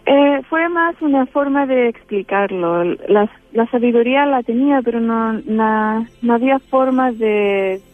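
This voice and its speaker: A woman's voice: on the high side, unhurried, hardly any pauses, no room sound, faint music behind. A Chilean woman with a Chilean Spanish accent, a guest in a spiritual interview, who talks hesitantly with many fillers and restarts. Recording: tinny phone-line sound.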